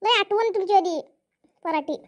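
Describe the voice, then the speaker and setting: Cartoon older farm woman, played by a woman: extremely high pitch, Varhadi Marathi accent, bossy, chatty, rustic. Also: normal speed. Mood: chatty, reassuring. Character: bossy, hardworking older village woman, farm labour leader. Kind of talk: comic cartoon dialogue.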